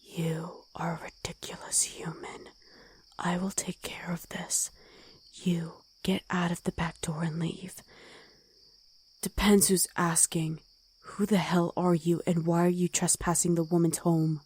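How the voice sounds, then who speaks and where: A woman voicing a gruff, protective wolf girl ex-soldier in an ASMR roleplay, stern and commanding, often in a hush. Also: on the low side, little pitch movement, a little slow. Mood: cold, suspicious.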